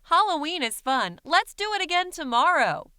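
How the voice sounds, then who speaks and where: A woman's voice: very high-pitched, very animated, normal speed, sharp tone, no room sound, clean studio sound, pitched above the performer's own voice, full character voice. A woman doing an earnest trash creature. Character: lonely, simple male creature made of garbage.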